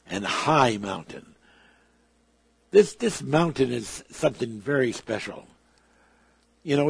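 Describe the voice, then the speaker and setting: A man's voice: medium pitch, no room sound. A man preaching a sermon, emphatic, with his volume swelling and dropping. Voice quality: gravelly, husky.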